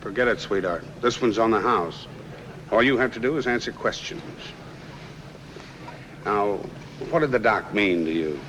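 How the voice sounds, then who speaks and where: A man's voice: on the low side, lively intonation, normal speed, pauses often, fairly bright tone. An American man playing a shrewd, commanding, slangy private detective in a 1950s film noir, on a noisy old soundtrack. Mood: wry, probing. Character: portly, shrewd, unflappable private detective boss. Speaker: film actor.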